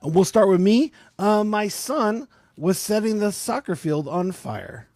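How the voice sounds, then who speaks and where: A man's voice: high voice, animated, unhurried, darkish tone, dry recording. A man with a scratchy voice hosting a casual live-stream chat, talking with lots of fillers and laughs. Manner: chatty, laughs often, many fillers. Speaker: podcast host.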